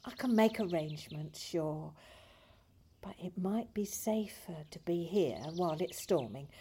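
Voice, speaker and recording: An actress playing a patient, caring hospice nurse in an audio play, speaking in a gentle, hesitant, reassuring way. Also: female voice, fairly low pitch, lively intonation, unhurried, husky, full character voice. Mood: calm, reassuring.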